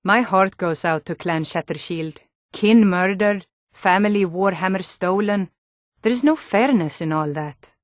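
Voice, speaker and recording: A woman playing a tough, suspicious female Nord city guard in a fantasy video game, with a blunt, gruff, challenging and wry manner. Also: mid-range voice, unhurried, no echo, clean studio sound, lightly acted. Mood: somber, shaken.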